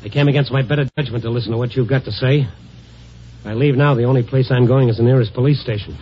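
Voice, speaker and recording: An actor playing a wry, hard-boiled newspaper reporter who narrates a 1950s radio drama, dry and wisecracking. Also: male voice, medium pitch, steady tone, fast talker, a little hiss or hum, close to an everyday voice. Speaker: narrator and lead. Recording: dull old radio broadcast recording.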